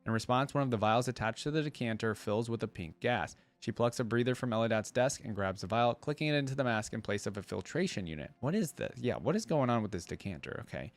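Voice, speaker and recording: A man's voice: medium pitch, expressive, quick pace, hardly any pauses. A man hosting a reaction video, reading lore aloud and commenting in a conversational, explanatory way.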